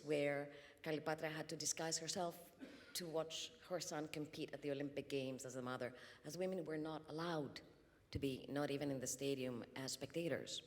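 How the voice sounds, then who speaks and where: A woman from Greece speaking as a conference panelist, measured and thoughtful, with frequent ums. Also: low-pitched. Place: conference hall. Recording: long reverb in a conference hall.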